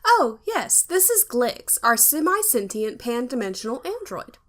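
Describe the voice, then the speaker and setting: A woman's voice: a little high, sing-song, a little slow. A woman co-hosting a podcast in a casual, playful chat style. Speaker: podcast co-host.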